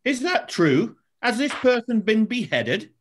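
A man's voice: sits high, big pitch swings, unhurried, a little hiss or hum. A man playing an imperious but fond father and emperor in an amateur pantomime read-through, declaiming in a stately way. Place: indoors at home, on a video call.

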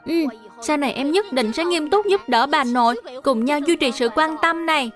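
A woman's voice: sits high, moderate pace, faint music behind, close to an everyday voice. A woman playing an earnest, caring little boy in a dubbed children's cartoon.

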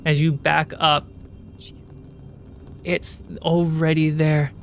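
A man's voice: fairly high pitch, flat delivery, slow pace, faint music behind. A man narrating a tabletop fantasy game as game master, describing scenes and prompting the players.

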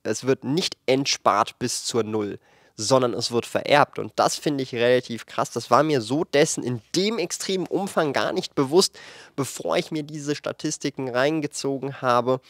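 A man in his late twenties talks frankly into a handheld microphone in a studio room, explaining numbers in a lively, thinking-aloud way with fillers. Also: medium pitch, normal speed, dry recording. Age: 20s. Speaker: finance YouTuber. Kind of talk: casual explanatory commentary to camera. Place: indoor studio room with shelves of collectibles.

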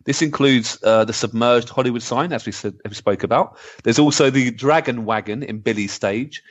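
A middle-aged man hosting a casual podcast, chatty and excitable. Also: medium pitch, normal speed. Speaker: podcast host. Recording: slightly dull, lo-fi audio.

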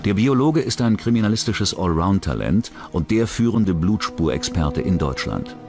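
A man's voice: sits low, lively intonation, normal speed. A man narrating a scripted true-crime documentary. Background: background music.

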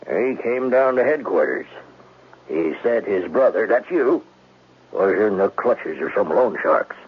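An actor in a 1970s radio drama playing a dogged police detective with a gravelly, husky voice and a blunt, probing manner. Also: male voice, fairly low pitch, expressive, unhurried. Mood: pressing. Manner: blunt, probing, hesitant starts. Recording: thin, phone-like vintage radio recording.

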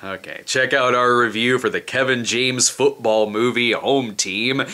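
A man in his thirties hosting a comedic movie review, sarcastic and animated, slipping into mock voices for quoted reactions, recorded in a small indoor room. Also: medium pitch, unhurried. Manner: sarcastic, animated, mimics characters in asides. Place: small indoor room in front of a video shelf.

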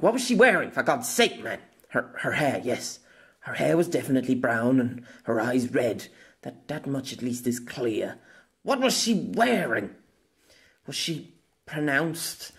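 A man voicing an anxious storybook character who questions himself in a fretful, halting way. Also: medium pitch, close to an everyday voice. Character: anxious man muttering to himself, doubting his memory.

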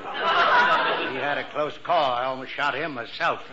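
A man's voice: mid-range voice, unhurried, pushed and bright. An American man playing a middle-aged father, dry and exasperated, in a 1940s radio comedy. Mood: wry impatience. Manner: wry, exasperated. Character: dry, impatient, gently sarcastic father. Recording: noisy, dull 1940s radio recording.

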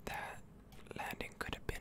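A game streamer speaking in a breathy, unvoiced whisper, giving soothing ASMR commentary with drawn-out words. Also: sharp tone. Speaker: video game streamer and channel host.

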